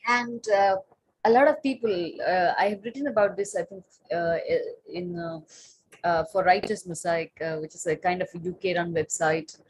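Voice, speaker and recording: A woman's voice: lowish voice, moderate pace, a little hiss or hum, rough recording. A woman in her late thirties, a Tamil native speaker, answering on a panel as a writer and translator, thoughtful, with many fillers and restarts.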